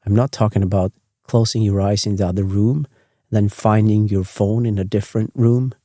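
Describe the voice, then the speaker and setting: A man from Sweden who speaks English with a Swedish accent as a second language, hosting a sleep podcast in a rambling, self-correcting, gently chatty way. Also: on the low side, fairly even intonation, moderate pace, dry recording, clean studio sound. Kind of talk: meandering bedtime talk.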